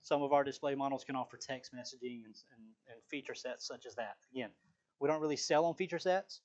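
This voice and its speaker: A man's voice: medium pitch, fast talker. A man leading a live sales training on a video call, talking casually with frequent fillers and restarts.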